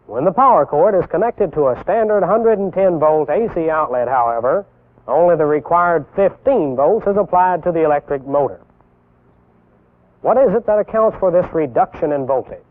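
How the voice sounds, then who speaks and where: A young American man giving scripted instructional narration as a military instructor, deliberate and didactic, posing rhetorical questions. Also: fairly high pitch. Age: young adult. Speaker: military instructor presenting a training film. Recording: tinny band-limited 1960s film soundtrack.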